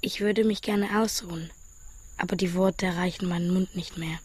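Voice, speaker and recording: An actress playing a melancholy, exhausted wanderer, breathy and half-whispered, as an inner monologue in a radio play. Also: female voice, on the low side, steady tone, moderate pace, darkish tone, no echo.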